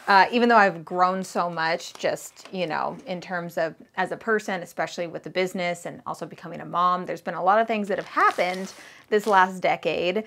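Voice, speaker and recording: A thirty-year-old woman vlogging about her candle business in a relaxed, rambling chat, full of fillers and "like"s while she works with her hands. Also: medium pitch. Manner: chatty, rambling with fillers and likes. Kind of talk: casual chat to camera.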